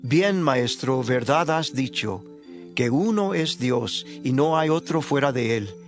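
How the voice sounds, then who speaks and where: A man playing an earnest, respectful religious scholar in a dramatized scripture audiobook, with measured delivery. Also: medium pitch, lively intonation, faint music behind.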